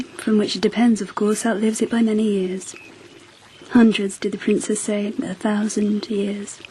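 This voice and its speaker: An actress playing a regal, reflective empress in a radio drama, stately and measured in manner. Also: female voice, on the high side, dark tone, a little hiss or hum, close to an everyday voice, rough recording. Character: regal, reflective empress of 10th century Japan.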